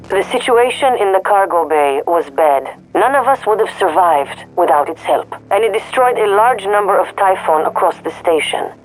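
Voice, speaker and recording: Woman acting a firm security boss in a game, husky, formal, decisive, faint music. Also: medium pitch, animated, normal speed, hardly any pauses, natural voice, rough recording. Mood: firm, approving. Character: firm, appreciative female security chief. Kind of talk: video game cutscene dialogue.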